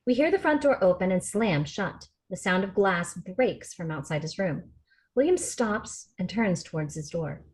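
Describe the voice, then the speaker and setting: A woman's voice: lowish voice, expressive, normal speed. A woman reading stage directions as the narrator at a film script table read. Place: remote video call rehearsal.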